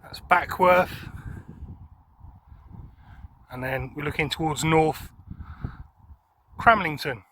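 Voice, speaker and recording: A man's voice: a little high, takes it slowly, long pauses. A man giving casual hands-on vlog commentary outdoors, as an amateur radio presenter. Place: outdoors on a windy high spot. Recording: windy outdoor recording, loud wind noise.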